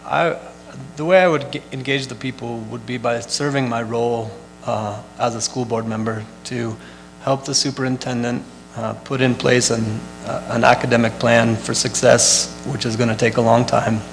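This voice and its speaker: A man's voice: medium pitch, steady tone, normal speed, gravelly, faint music behind, rough recording. A man school board candidate answering at a forum, hesitant with many fillers.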